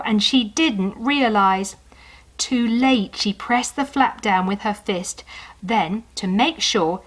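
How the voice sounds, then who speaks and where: A woman storyteller reading a children's story aloud, expressive and unhurried, with conversational asides to the young listener. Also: medium pitch, poor audio.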